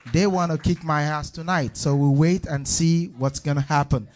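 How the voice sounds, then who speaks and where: A man's voice: a little high, fairly even intonation, moderate pace, darkish tone. A man hosting a live talk show on stage at an art venue, introducing guests in loose, chatty patter.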